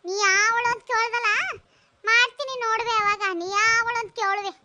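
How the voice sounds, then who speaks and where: A woman's voice: extremely high pitch, steady tone, a little fast, sharp tone, slight background noise, exaggerated voice. A woman playing a bossy, loyal village neighbour in a rural melodrama, full of exclamations and scolding. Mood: indignant.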